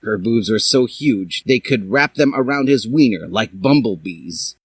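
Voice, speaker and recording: Animated man reading a story aloud. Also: on the low side, a little slow, slightly rough, no room sound. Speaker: reader of a fan fiction story aloud. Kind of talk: dramatic comic story reading.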